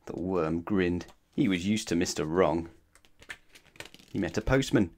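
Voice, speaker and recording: A man reading a children's storybook aloud expressively, with dramatic, deliberate emphasis. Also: deep voice, animated, slight background noise. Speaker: storybook narrator.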